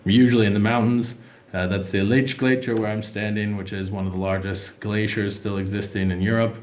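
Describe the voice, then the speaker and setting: A man's voice: on the low side, flat delivery, fairly quick, a little mellow, echoey room. A young American man presenting a finance webinar, hesitant with many fillers and restarts. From United States. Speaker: wealth manager presenting a webinar.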